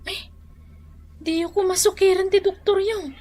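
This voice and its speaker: A high, plaintive female voice with an Ilocano accent as a grown daughter in a radio drama. She sighs and chides, exasperated yet dutiful. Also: steady tone, unhurried, darkish tone. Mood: weary. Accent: Filipino, Ilocano.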